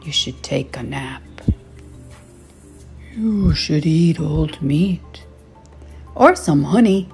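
A woman's voice: deep voice, animated, very slow, long pauses, darkish tone, husky, faint music behind, heavily acted. A woman voicing cheerful, pushy jungle animals in a storybook, exclaiming and urging. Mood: eager urging.

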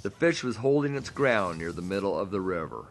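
Low, lively male narrator. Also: brisk, slight background noise. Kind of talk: scripted narration.

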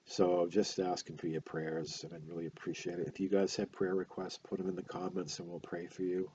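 An older man with a stuffy nose, talking casually to his webcam at home, halting with many fillers and restarts. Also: low voice, flat delivery, mellow, lo-fi sound, slight background noise. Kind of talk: casual talk to camera. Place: small room at home, indoors. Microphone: webcam close up.

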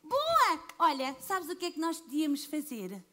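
A woman's voice: high-pitched, wildly swooping pitch, normal speed, bright, forceful tone. A woman children's entertainer on an outdoor stage, talking in an exaggerated, sing-song way. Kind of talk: playful stage show for kids.

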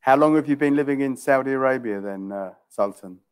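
A man's voice: medium pitch, fairly quick, clean tone. A middle-aged man from England with a London accent, tutoring English over a video call in a chatty way, often repeating and rephrasing his questions. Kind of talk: casual video-call conversation. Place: small room indoors. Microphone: headset.

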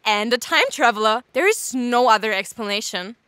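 A woman playing an eager, nosy character in an audio drama, blurting and rambling excitedly. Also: fairly high pitch, normal speed, slight background noise. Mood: excited, urgent.

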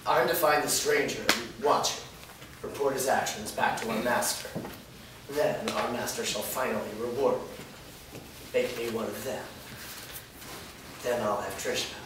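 A man's voice: mid-range voice, lightly acted. A man in a staged reading playing a servile, resentful human minion who craves power, with a fawning, scheming manner. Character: whiny, servile human minion craving vampirehood, creepy and resentful. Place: indoor performance room, seated before an audience. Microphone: far camera. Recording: distant mic, very echoey noisy room.

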